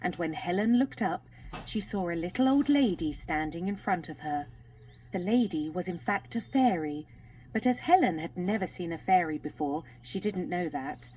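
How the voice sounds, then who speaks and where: A woman's voice: fairly low pitch, lively intonation, normal speed, a little mellow, slight background noise. A woman narrating a children's fairy tale as a scripted audiobook.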